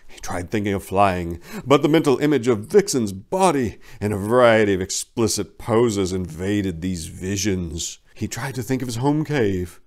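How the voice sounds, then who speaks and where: A man in his forties giving a theatrical, emphatic, mock-serious dramatic reading of a story. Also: fairly low pitch. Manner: theatrical, emphatic, mock-serious with big swells and hushed drops. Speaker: reader. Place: small indoor room lined with bookshelves. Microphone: lapel microphone.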